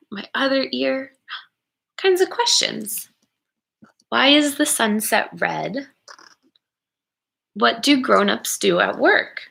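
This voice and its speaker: A woman reads a children's book aloud at a very unhurried pace. Her voice is bright and crisp-edged, with noticeable creak at times. She is expressive and encouraging, pausing to wonder aloud with her young listeners. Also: a little high. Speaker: educator reading a picture book aloud to children.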